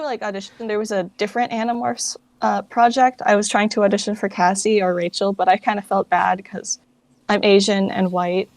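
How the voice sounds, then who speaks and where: A woman's voice: mid-range voice, darkish tone, dry recording. A woman answering interview questions casually, in an informal, rambling way that often corrects itself. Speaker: interview guest, fan project founder. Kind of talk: casual chat.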